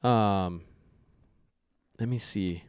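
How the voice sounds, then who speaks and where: A man's voice: fairly low pitch, very slow, darkish tone, clear, resonant tone, no room sound. A man who is a guest on a casual podcast, teasing his host with dry jokes. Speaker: podcast guest, business owner.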